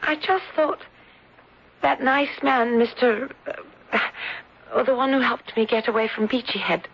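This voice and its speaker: A woman's voice: a little high, measured pace, breathy edge. Wistful young lady, muffled recording. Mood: musing. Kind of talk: old-time radio drama acting. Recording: muffled, hissy old radio broadcast.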